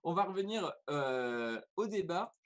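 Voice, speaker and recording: A man's voice: fairly high pitch, lively intonation, unhurried, muffled recording. Playful man hosting a quiz. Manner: playful quizmaster, teasing. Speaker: talk show host. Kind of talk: live online talk show quiz. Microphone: video call.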